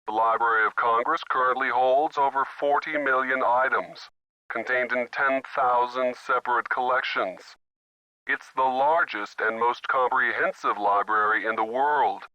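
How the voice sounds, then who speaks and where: A man's voice: mid-range voice, steady tone, moderate pace, sharp tone. A man playing a formal, pompous library archivist in a video game, speaking precisely through a telephone filter. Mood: officious, impatient. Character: stuffy, formal male library archivist, officious but decent. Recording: band-limited telephone call sound.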